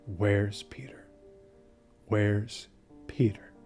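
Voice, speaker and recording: Man acting a dark-voiced haunted survivor over guitar. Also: on the low side, fairly even intonation, extremely slow. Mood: haunted, emotional. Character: shaken male survivor reflecting on his ordeal. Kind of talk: documentary-style audio drama monologue. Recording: plucked guitar music behind voice.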